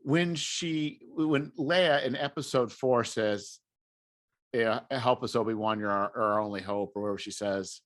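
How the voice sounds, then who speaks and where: A man's voice: mid-range voice, measured pace, fairly bright tone. A man in a casual podcast conversation, explaining his opinions in an informal way. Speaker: podcast speaker discussing film franchises. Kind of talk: casual chat.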